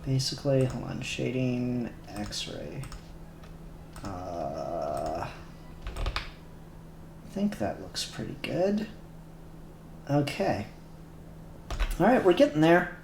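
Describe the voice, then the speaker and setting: A man's voice: mid-range voice, very animated, very slow, gravelly, long pauses, background noise. A man live-streaming his 3D modelling work, talking casually and muttering to himself, a little self-conscious. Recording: echoey room, faint music behind.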